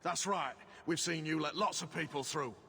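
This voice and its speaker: A man's voice: fairly high pitch, normal speed, vocal fry, a little hiss or hum. An actor playing an angry, belligerent refugee man in a video game, confrontational and demanding. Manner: confrontational, forceful.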